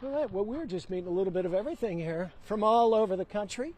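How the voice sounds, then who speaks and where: A man's voice: high-pitched, fast talker, a little mellow. A man who is a TV news anchor doing live street interviews outdoors in a city park, chatting and bantering easily with passers-by. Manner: chatty, jokey banter with strangers.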